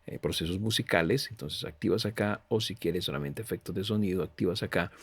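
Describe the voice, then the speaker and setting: Very deep, gravelly man presenting a tutorial. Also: brisk. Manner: explanatory, addresses the viewer directly. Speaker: tutorial presenter reviewing audio software. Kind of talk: informal software walkthrough.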